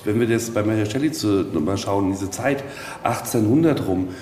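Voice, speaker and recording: A man explaining things casually in a reflective, halting way, searching for his words as he goes. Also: on the low side. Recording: echoey reverberant hall, faint noise.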